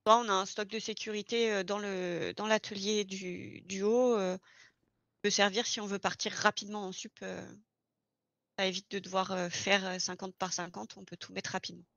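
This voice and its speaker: A woman's voice: medium pitch, flat delivery, normal speed, fairly bright tone, no room sound, lo-fi sound. A woman explaining things step by step in an informal, casual roleplay chat. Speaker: experienced garage employee training a new recruit in online roleplay.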